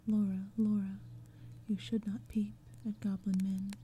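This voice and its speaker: A woman voicing earnest young maidens from a fairy-tale poem in a hushed, breathy, lilting whisper. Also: medium pitch, flat delivery, unhurried, slight background noise, close to an everyday voice. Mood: cautioning.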